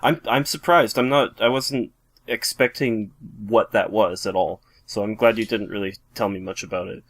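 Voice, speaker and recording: A man's voice: lowish voice, steady tone, moderate pace, fairly bright tone, no echo. A man co-commentating on a video game casually, in an inquisitive, musing way. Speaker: let's play co-commentator.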